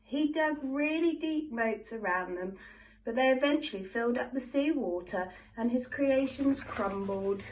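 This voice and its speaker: A woman reads a children's picture book aloud with animated, expressive storytelling. Her voice sits on the higher side. She is recorded from a little distance from the microphone. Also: measured pace. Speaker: storybook reader.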